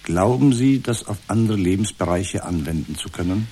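An actor playing an unflappable, formally polite therapist in a satirical radio drama, speaking in measured, stilted counselling phrases. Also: male voice, low voice, animated, mellow, slight background noise. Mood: calmly prompting. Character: unflappable automated male therapist, formally polite, echoing the patient.

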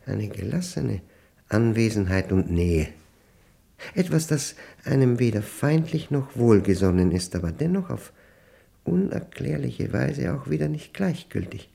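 A gravelly, brooding older man. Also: mid-range voice, sing-song, dark tone, exaggerated voice. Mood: rapt, contemplative. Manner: halting, deliberate, introspective phrasing. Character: aging, solitary man brooding over a humming machine. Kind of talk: radio play monologue.

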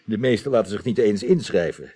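An actor playing a dutiful, deferential detective giving a meticulous report in a 1980s Dutch radio drama. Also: male voice, lowish voice, animated, a little fast, warm tone, husky. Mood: earnest, slightly amused.

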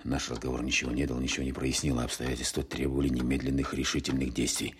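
An actor playing a shrewd wartime officer, thinking aloud in measured, reflective radio-play narration. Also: male voice, rumbling low, completely flat delivery, full character voice. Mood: troubled, suspicious. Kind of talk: dramatic first-person narration, radio play. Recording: radio drama with music underneath. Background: clear music.

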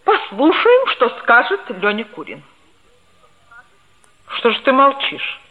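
An actress playing a stern, pompous schoolteacher in a theatrical, didactic radio play style. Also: female voice, high-pitched, wildly swooping pitch, slow, exaggerated voice. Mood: prodding. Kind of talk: 1960s radio play acting. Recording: tinny vintage 1960s radio recording.

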